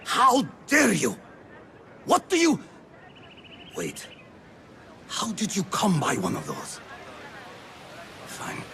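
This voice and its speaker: A man's voice: sits high, wildly swooping pitch, takes it slowly, gravelly, long pauses, pushed and bright, a little hiss or hum, heavily acted. An actor playing a haughty, nervous, easily outraged man of standing in a video game, with an imperious manner. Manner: indignant, imperious. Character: haughty, easily outraged, nervous male slave-owning notable. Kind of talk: video game cutscene acting.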